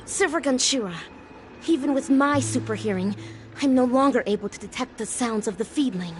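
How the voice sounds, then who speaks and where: A woman's voice: high voice, animated, brisk. A woman playing a solemn, earnest young ninja heroine in a video game, speaking in formal, grandiose declarations over music. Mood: solemn resolve. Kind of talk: dramatic video game voice acting. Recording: music behind the voice.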